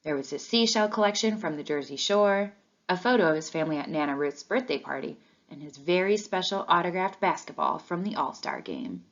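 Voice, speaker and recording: A woman reading a children's storybook aloud in an expressive narrating style, on a dull lo-fi recording. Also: low-pitched, brisk. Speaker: storybook reader.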